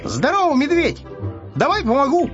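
A man voicing an excitable, simple, cheerful young hare in a storybook tale, exclaiming in bewildered, colloquial bursts. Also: very high-pitched, normal speed, muffled recording, music in the background, pitched above the performer's own voice, heavily acted. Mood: cheeky, eager.

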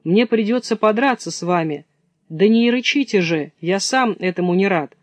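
A man's voice: high voice, normal speed, dry recording, voice pushed up. A man voicing a timid but brave young hare, polite and agitated as he speaks. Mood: indignant, agitated. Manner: polite, agitated, apologizing even while insulting.

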